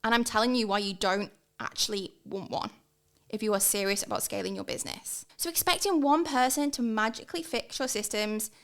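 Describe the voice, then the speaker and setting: A woman in her thirties with an English accent, giving an energetic, emphatic podcast talk as a guest consultant. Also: medium pitch, brisk. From England. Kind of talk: sponsored podcast monologue.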